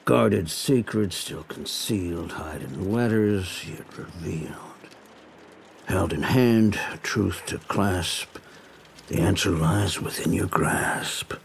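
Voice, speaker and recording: An actor playing a wry, deadpan detective in a noir audio drama, with a low, gravelly voice. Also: male voice, a little hiss or hum, exaggerated voice. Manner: slow, dry, deadpan. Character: wry, heavy-drinking 1930s police detective, sardonic noir man.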